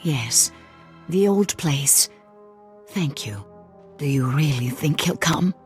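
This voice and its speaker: An actress voicing a wary, stubborn, lovelorn middle-aged woman in a dramatic video game scene, with a husky voice. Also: deep voice, animated, slow pace, faint music behind. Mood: wary, hesitant.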